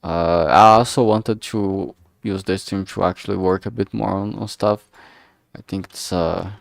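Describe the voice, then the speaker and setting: A man's voice: low-pitched, steady tone, measured pace, gravelly, dry recording, clean studio sound. A man chatting casually on a livestream, thinking aloud in a hesitant, musing way and often trailing off mid-thought. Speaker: streamer making a visual novel.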